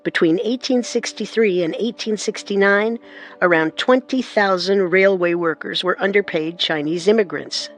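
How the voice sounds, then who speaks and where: A woman co-hosting a historical radio drama, giving measured, scripted documentary narration. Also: medium pitch. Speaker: narrator and co-host.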